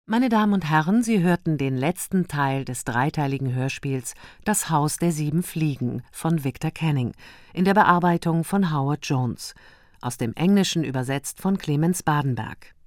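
A woman reads radio drama credits as a formal announcer. Her voice is low for a woman. The sound is dry and close. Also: lively intonation, normal speed, no room sound.

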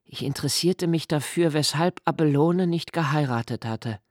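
An actor playing a young nobleman who narrates a literary radio play in a slow, hushed, reflective way. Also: fairly high pitch, no room sound, clean studio sound, natural voice. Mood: musing. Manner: slow, hushed and reflective, dropping to near whispers. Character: young nobleman Malte, brooding poetic narrator.

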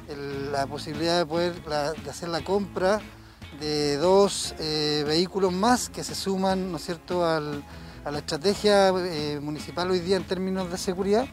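A man with a Chilean accent giving an unscripted report as a councillor, halting and adding tag questions. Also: fairly high pitch. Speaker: town councillor.

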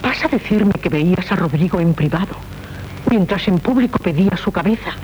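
An actress with a Castilian accent playing a dignified, compassionate princess in a 1970s radio drama, speaking in a measured, formal way. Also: female voice, lowish voice, lively intonation, darkish tone, close to an everyday voice. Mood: shocked. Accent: Castilian Spanish. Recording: muffled, noisy 1970s radio recording.

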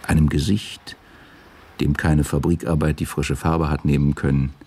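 A man narrating a literary radio drama in a measured, sombre way, with a gravelly, husky voice. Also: a bass voice, little pitch movement, darkish tone.

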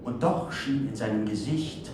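A man playing a solemn narrator in a radio play, reflecting on death with a measured, literary delivery. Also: medium pitch, noisy background, close to an everyday voice. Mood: solemn, haunted. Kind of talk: literary narration and recitation in an audio drama. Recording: very echoey, distant, music behind.

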